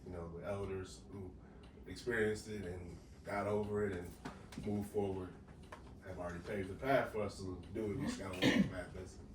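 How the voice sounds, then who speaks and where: A man in his thirties leading a circle's closing remarks, measured and reflective, often saying "basically". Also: low-pitched, little pitch movement. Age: 30s. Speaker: circle facilitator. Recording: echoey room, distant mic, background noise.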